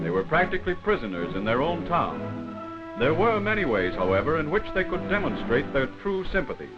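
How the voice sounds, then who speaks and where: A man reading scripted wartime newsreel narration in a formal, dramatic 1940s manner. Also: medium pitch. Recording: dull vintage 1940s film soundtrack. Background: loud background music.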